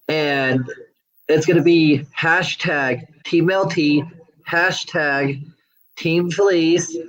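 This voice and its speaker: A man joining by video call from a small room at home to make a relaxed announcement. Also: fairly high pitch, rough recording. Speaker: guest making an announcement. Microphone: webcam on video call.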